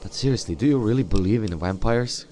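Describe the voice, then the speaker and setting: A man roleplaying his video game character aloud, speaking in a halting, polite and dramatic way. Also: on the low side, lively intonation, dark tone. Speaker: gamer voicing his player character. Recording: game music behind the voice.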